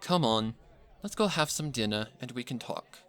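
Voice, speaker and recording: A man voicing a composed, kindly female pony musician in a story, calm and reassuring like an older sister. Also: mid-range voice, normal speed, natural voice. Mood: gently amused.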